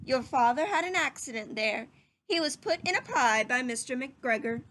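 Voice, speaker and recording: A woman voicing an old mother rabbit in a children's storybook, measured and admonishing as she cautions her young. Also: high voice, animated, fairly bright tone, poor audio. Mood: motherly warning.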